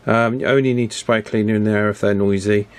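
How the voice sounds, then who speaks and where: A man giving a casual, chatty hands-on repair commentary in an unhurried way, as if thinking aloud. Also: on the low side, steady tone. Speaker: radio repair hobbyist presenting his work.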